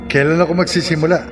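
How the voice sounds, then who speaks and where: An actor playing a cocky, greedy gambler in a horror radio drama, with a brash, questioning manner. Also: male voice, a little high, natural voice. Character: cocky, greedy male gambler, wary of bargains.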